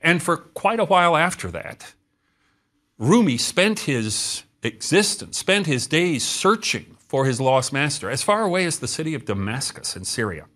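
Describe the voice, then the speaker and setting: Middle-aged male lecturer, lively melodic delivery, close lapel sound from a studio set. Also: on the high side. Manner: expressive, gesturing, storytelling with dramatic pauses. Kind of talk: scripted travel lecture to camera.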